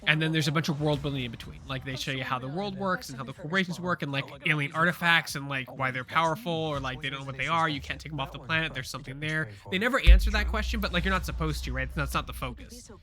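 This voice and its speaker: A man chatting on a live pop culture show in a rambling, eager way. His voice sits fairly high and sounds bright and forward, and he talks quickly, piling up fillers and restarts as he goes. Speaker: co-host. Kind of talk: casual pop culture chat.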